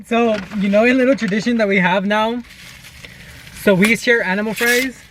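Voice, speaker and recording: A man's voice: sits high, steady tone, normal speed, background noise. A young man talking casually to viewers as a vlog co-host, telling stories in a conversational way. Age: young adult. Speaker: vlogger, channel co-host. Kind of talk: casual chatty storytelling vlog.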